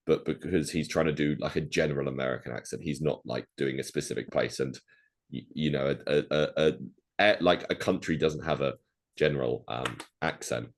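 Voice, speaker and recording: A man's voice: extremely low pitch, a little fast. A man co-hosting a film podcast, chatting casually and rambling with lots of restarts and fillers. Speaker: podcast co-host. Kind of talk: casual chat.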